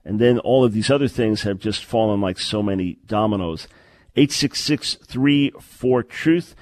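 A man's voice: on the low side, measured pace, a little mellow, no echo. A man hosting a call-in radio talk show, talking in an earnest, emphatic way and repeating words to stress a point.

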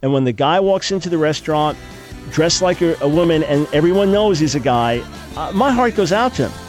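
A man's voice: a little high, lively intonation, a little fast, soft background music. An older man hosting call-in talk radio, sounding congested, arguing emphatically with frequent restarts. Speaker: radio talk show host.

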